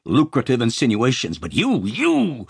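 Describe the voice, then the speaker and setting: An irascible old magnate, acted by a male audiobook reader, husky and rough-edged, with a blustering, imperious manner. His delivery swings widely in pitch, in a dry, close recording. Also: wildly swooping pitch, a little slow, dry recording, full character voice. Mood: indignant. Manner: blustering, indignant. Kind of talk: audiobook character acting.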